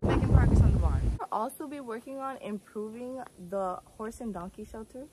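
A woman's voice: on the high side, fairly quick, over loud music, low-quality recording. A young woman chatting casually as she shows her farm property and rescued donkeys in a vlog. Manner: chatty, explaining while walking around a farm. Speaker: vlogger. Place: outdoors on a rural property with donkeys. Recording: outdoor phone audio with clear background noise.

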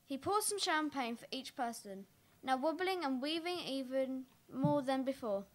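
A woman's voice: sits high, expressive, normal speed. A young woman reading stage directions as scripted narration for a play. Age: young adult.

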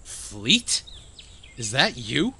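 A brash, animated outlaw. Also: male voice, medium pitch, very slow, full character voice. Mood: defiant. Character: brash, cynical, foul-mouthed male outlaw. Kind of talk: audio drama acting. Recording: clear outdoor ambience behind.

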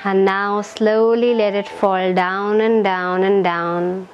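Woman, meditation guide, very unhurried pace, gentle and soothing, medium pitch, noisy live recording with chimes. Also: dull audio. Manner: calm, gentle, unhurried, short phrases with long pauses.

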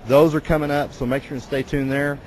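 A man's voice: flat delivery, brisk, a little mellow, rough recording. A middle-aged American man chatting casually as co-host of a live webcast, on a handheld microphone. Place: large concrete backstage area indoors. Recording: clear ambient noise, backstage rumble.

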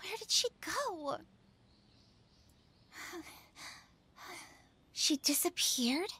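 A woman's voice: extremely high pitch, very animated, unhurried, sharp tone, heavily acted. A woman voicing an earnest, flustered teenage schoolgirl in an anime fan dub. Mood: worried.

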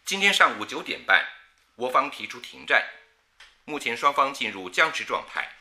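An actor playing a grave 1930s wartime radio news announcer, reading formally in a measured, declamatory manner. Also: male voice, medium pitch, sing-song, bright, forceful tone, full character voice. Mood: grave, urgent. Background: music.

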